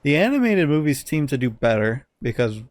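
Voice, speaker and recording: A man's voice: a little high, very animated, fairly quick, no echo, studio quality. A man co-hosting a casual podcast, giving blunt opinions with some swearing and often searching for words. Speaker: podcast co-host.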